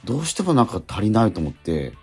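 Middle aged guy radio host, deep raspy-ish voice that jumps all over, casual banter, faint music under. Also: sing-song, fast talker. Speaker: radio show host and stage actor.

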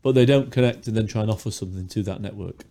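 Fast-talking hoarse man. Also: lowish voice, warm tone. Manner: chatty, jokey, quick. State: hoarse, sore throat. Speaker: podcast co-host. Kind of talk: casual chat. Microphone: studio mic with pop filter.

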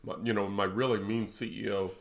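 A man's voice: lowish voice, fairly even intonation, fast. A man co-hosting a podcast, talking casually with frequent hesitations and restarts. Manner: rambling, hesitant, many fillers and restarts. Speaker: podcast co-host. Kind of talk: casual conversational podcast chat.

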